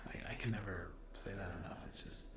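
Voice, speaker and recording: A man chatting casually to his ASMR viewers in a soft voice that often drops to a whisper, hesitant and apologetic. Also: sits low, a little fast. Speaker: ASMR roleplay video creator and host. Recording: roomy echo.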